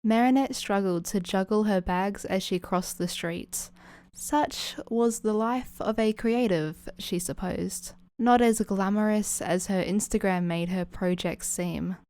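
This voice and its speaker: Woman, scripted story narration, medium pitch, smooth and clean, slow delivery. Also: darkish tone, no room sound. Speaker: fan fiction narrator.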